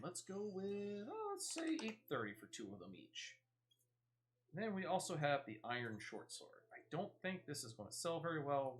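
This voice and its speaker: A man's voice: fairly high pitch, fairly bright tone. A man giving casual, chatty gameplay commentary, thinking aloud with a playful, mildly self-deprecating touch. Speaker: gaming streamer.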